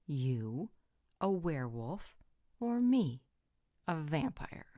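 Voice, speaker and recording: Woman acting a ruthless vampire lady, husky and breathy, slow commanding drawl, swooping intonation, audio roleplay. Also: sits low, sing-song, dark tone, no room sound, clean studio sound, full character voice. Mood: haughty, threatening. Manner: commanding, deliberate, theatrical. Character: bossy, sharp-tongued female vampire, ruthless and sardonic.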